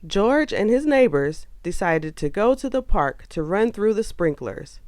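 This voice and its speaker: Slow, lively woman reading a storybook. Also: medium pitch, no room sound, studio quality, faint music behind. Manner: expressive, animated storytelling. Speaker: storybook narrator. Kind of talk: scripted children's read-aloud narration.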